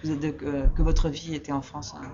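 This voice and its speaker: A woman's voice: deep voice, level tone, brisk, mellow. A woman interviewer who asks probing questions, stumbling into them and repeating answers back. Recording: muffled lo-fi recording with crowd noise. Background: crowd chatter.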